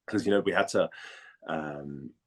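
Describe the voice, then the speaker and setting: A man in a casual interview, telling stories in a chatty, halting way and often rephrasing as he goes. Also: extremely low pitch. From Denmark. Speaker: voice actor and model, interview guest.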